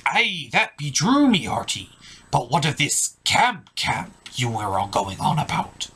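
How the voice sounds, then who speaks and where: A man's voice: on the high side, big pitch swings, a little slow, bright, forceful tone, slightly rough, heavily acted. A man voicing a skeptical, nagging pirate puppet who drawls in pirate speak for a comedy puppet show.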